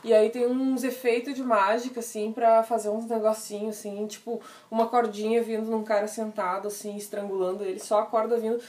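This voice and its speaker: A woman's voice: on the high side, flat delivery, fairly quick, few pauses, a little mellow, recorded from a distance. Chatty woman. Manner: slangy, rambling, laughs often. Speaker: podcast co-host. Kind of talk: casual chat. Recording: roomy, off-mic.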